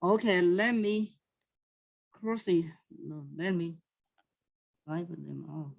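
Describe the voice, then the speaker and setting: A woman from southwest China with a Chinese accent, teaching math in a recorded lecture and often pausing to correct herself. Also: lowish voice, creaky. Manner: slow, halting, thinking aloud, self-correcting. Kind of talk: recorded lecture over a digital whiteboard.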